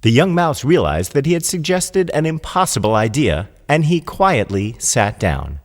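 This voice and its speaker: A man's voice: mid-range voice, sing-song, normal speed, long reverb tail. A man narrating a children's fable as a storyteller, reading from a script.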